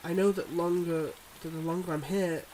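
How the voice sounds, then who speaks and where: Man playing a tender, guarded mob boss boyfriend, higher-pitched. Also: fairly even intonation, darkish tone, a little hiss or hum, natural voice, rough recording. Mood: bittersweet, devoted. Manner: earnest, measured, halting confessions. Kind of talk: ASMR boyfriend roleplay, intimate monologue.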